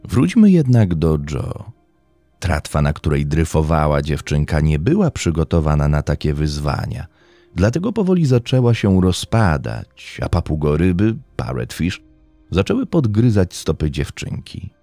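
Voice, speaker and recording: A man's voice: extremely low pitch, big pitch swings, measured pace, dark tone, dry recording. A man narrating a scripted true-crime story in a dramatic, suspenseful way, with emphatic openings to his phrases. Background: music bed under the voice.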